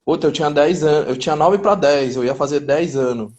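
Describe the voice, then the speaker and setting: Interview guest, forties, Chilean-born man, slightly high voice, easygoing street talk. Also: brisk. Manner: relaxed, street slang, frequent restarts. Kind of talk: casual interview chat.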